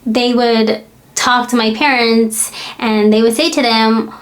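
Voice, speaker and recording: A woman's voice: on the high side, fairly even intonation, takes it slowly. An American woman, a parent, hosting her own language-learning channel and talking candidly to the camera with frequent fillers. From United States. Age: adult parent. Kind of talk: personal talk to camera.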